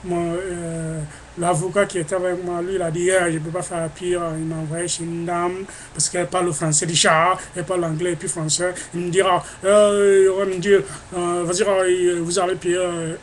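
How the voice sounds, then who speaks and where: A man's voice: high voice, slight background noise. A man from Africa speaking into his webcam in an emphatic, indignant monologue, often repeating his phrases. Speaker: vlogger.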